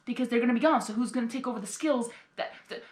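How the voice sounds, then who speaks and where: Female reaction host: higher-pitched, exasperated, chatty explainer in an echoey room. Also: racing. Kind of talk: casual reaction commentary.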